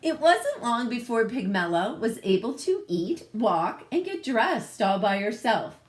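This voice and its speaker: Unhurried, expressive woman reading a picture book. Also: a little high. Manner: expressive, pausing to comment on the pictures. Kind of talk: read-aloud storytelling for children with casual asides.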